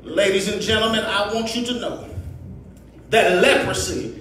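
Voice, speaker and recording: High-pitched preacher in an echoing hall. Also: male voice, a little hiss or hum. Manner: impassioned, oratorical, builds from measured phrases to shouts. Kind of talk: sermon with rhetorical repetition and call to the congregation. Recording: echoey hall, distant microphone.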